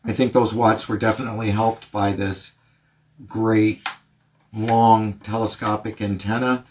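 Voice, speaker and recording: A man doing radio test calls and product review narration in a plain, measured way. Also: fairly low pitch, level tone, darkish tone, a little hiss or hum. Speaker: host of a radio review channel.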